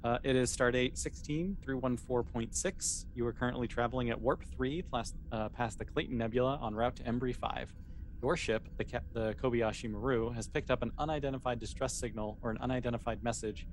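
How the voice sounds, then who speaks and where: A man's voice: mid-range voice, steady tone, brisk, slight background noise. A man running a tabletop game as game master, narrating in a casual, easygoing, improvised way.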